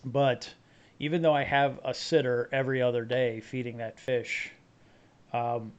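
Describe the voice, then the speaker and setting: A man's voice: mid-range voice, unhurried, clean tone. Middle-aged man host on dull lo-fi audio. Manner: relaxed, conversational, short affirmations. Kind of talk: casual chat.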